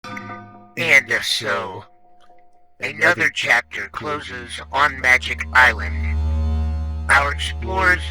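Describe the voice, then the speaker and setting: Slow dramatic radio announcer guy, music behind, old lo-fi sound. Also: medium pitch, animated, sharp tone. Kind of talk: closing narration.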